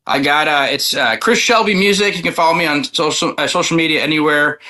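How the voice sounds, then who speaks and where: Bright-voiced man, hesitant and informal. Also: on the high side, brisk. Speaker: guest musician. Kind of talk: casual interview chat.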